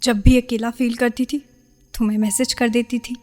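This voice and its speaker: Actress as an anguished young woman, high-pitched. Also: little pitch movement, normal speed, darkish tone, natural voice. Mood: tender, wistful. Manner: pleading, defensive. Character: anguished young woman torn between lover and parents. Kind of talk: Hindi radio play acting.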